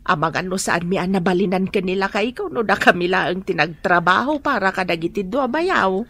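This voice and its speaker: A woman's voice: mid-range voice, lively intonation, a little fast, fairly bright tone, no echo. An actress in an Ilocano radio drama playing a friendly, chatty mother, speaking with an Ilocano accent.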